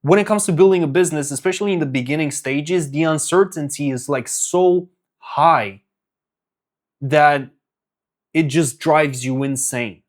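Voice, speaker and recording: A man's voice: fairly high pitch, unhurried. A young man from Romania giving a casual, earnest motivational talk as a business coach, with frequent fillers and restarts.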